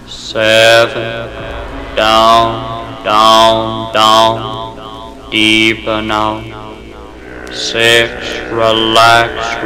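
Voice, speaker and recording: Male hypnotist on an old record, droning and even, with music underneath. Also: fairly low pitch, rough recording. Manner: slow soothing countdown, repetitive and hypnotic; commanding suggestions. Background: music playing under the voice.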